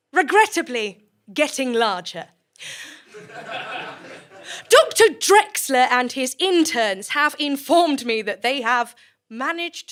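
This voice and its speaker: Female director of sinister research facility, high voice, formal, measured, officious, composed, audio drama speech. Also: a bit bright, heavily acted. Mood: grave, reassuring.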